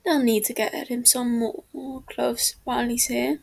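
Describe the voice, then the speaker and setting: A woman reads a fan fiction story aloud in a soft, half-whispered way that keeps close to the listener. Her voice sits high with a gravelly fry at the edges, and she takes the text slowly and unhurried. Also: steady tone. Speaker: narrator. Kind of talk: fan fiction audiobook reading.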